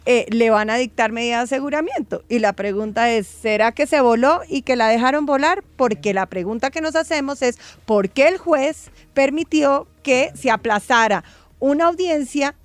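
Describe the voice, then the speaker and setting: A woman's voice: high voice, normal speed, dry recording. A woman on a radio show commenting on a news story with emphatic rhetorical questions.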